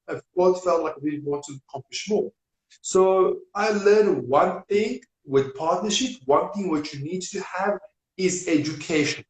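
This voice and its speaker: A man with a Serbian accent, speaking as an energetic guest in a casual live-stream interview, with non-native grammar and repetition. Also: on the high side. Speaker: business guest. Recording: echoey room.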